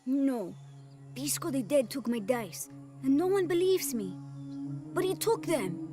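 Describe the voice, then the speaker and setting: A woman's voice: high voice, expressive, a little slow, over music. A woman playing an earnest, easily upset little child in a video game. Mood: upset, indignant. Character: upset, indignant little child who feels disbelieved. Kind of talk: video game dialogue acting.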